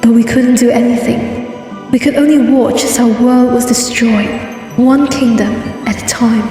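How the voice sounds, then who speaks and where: Cartoon sea-pony royal played by a woman: highish, formal, earnest, poised, over faint music. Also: steady tone, mellow, close to an everyday voice. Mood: solemn. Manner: formal, measured storytelling. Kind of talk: cartoon comic dub. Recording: very echoey, distant, music behind.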